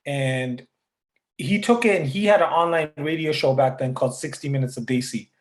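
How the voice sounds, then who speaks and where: Canadian guy rapper chatting, kinda high voice, echoey room sound, loose storytelling. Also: normal speed. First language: Punjabi. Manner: relaxed storytelling, frequent filler words. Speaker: guest rapper. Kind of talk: casual interview over a call.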